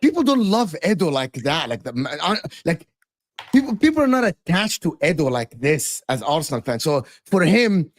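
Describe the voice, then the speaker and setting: A man's voice: fairly high pitch, big pitch swings, moderate pace, no room sound, studio quality. A man from Egypt insistently pressing his point in a heated football debate. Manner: insistent, interrupting. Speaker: panelist.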